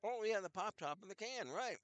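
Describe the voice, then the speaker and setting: A man's voice: high-pitched, animated, fairly quick, fairly bright tone, no room sound. An older man with a head cold and scratchy throat, chatting casually as a hockey announcer between plays. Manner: rambling, chatty, self-deprecating asides. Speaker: play-by-play announcer. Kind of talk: casual hockey broadcast commentary.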